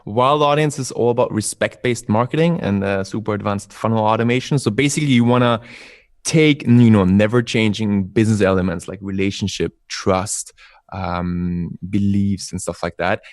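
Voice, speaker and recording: A man's voice: fairly low pitch, normal speed, a little mellow, no echo, studio quality. A young man with an Austrian accent, a guest telling his story on a call, upbeat and chatty. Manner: upbeat, chatty storytelling with frequent ums and laughs. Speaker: guest entrepreneur. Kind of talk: casual interview over a call. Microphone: remote call.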